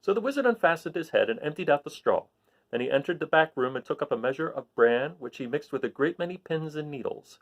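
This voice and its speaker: A man reading a storybook aloud as an expressive narrator. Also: on the high side, fast, creaky. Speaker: storybook reader.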